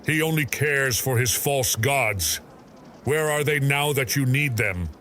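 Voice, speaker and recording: A man's voice: medium pitch, a little slow, bright, forceful tone, voice pushed up. A man voicing a bitter, resentful agitator haranguing a crowd in an audio drama. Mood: bitter, inciting. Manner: bitter, rabble-rousing. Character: broad-shouldered male rebel agitator haranguing crowds, resentful.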